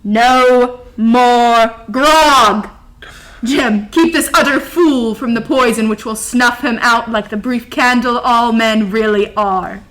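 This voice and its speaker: A woman playing a stern, dramatic older woman in a theatrical drama, imperious, emphatic and deliberate. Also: fairly high pitch, fairly bright tone. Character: stern, bossy, dramatic grandmother running an inn. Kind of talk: theatrical stage acting.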